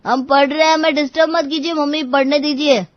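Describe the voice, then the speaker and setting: A woman's voice: high-pitched, fairly even intonation, brisk, dry recording, dull audio, close to an everyday voice. A woman voicing grumbling young males of a family in a cartoon story, with a complaining manner. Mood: annoyed.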